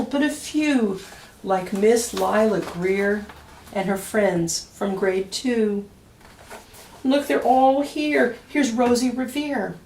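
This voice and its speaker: A woman reading a children's picture book aloud expressively, with deliberate dramatic pauses and emphasis. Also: fairly high pitch, darkish tone, a little hiss or hum. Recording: echoey room, distant microphone.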